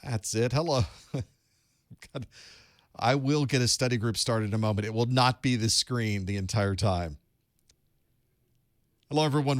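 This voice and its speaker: A man's voice: medium pitch, no echo. A man hosting a live online study group, chatting casually and greeting viewers with light jokes from a studio room. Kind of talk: casual live-stream chat and instruction. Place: home studio room with electronics.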